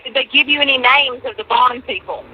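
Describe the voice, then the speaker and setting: A woman's voice: sits high, fairly even intonation, fairly quick, slight background noise. A middle-aged or older woman talking casually as she receives a recorded jail phone call. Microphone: telephone.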